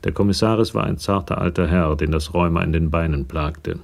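A man narrating a German radio crime drama in a measured, factual storytelling manner. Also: deep voice, creaky, a little mellow.

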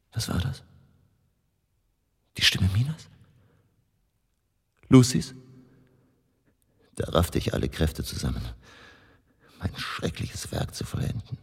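A grave older vampire hunter in a gothic radio play. His voice sits extremely low and crackles with gravel. He speaks solemnly and deliberately, with intense weight, over faint music. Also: exaggerated voice. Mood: haunted, anguished. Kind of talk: dramatic radio play narration.

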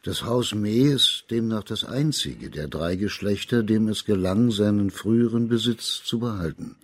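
An actor playing a dignified, courteous high judge of old China, questioning in a formal, husky voice in a radio drama. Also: male voice, normal speed, dry recording, natural voice. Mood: grave, probing. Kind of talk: German radio drama acting.

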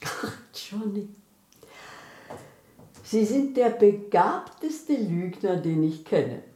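Slow, sardonic accuser. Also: female voice, medium pitch, lively intonation, mellow, roomy sound. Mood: dry irony. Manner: measured, sardonic. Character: cool, knowing, ironic accuser named Eisenberg. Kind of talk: German radio drama acting.

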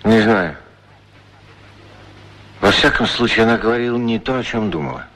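An actor playing a gentle, musing shoe shiner in a radio play, talking haltingly and repeating his words to himself. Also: fairly low pitch, animated. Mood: reflective. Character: gentle, musing male shoe shiner who chats with shoes. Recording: 1960s radio drama recording.

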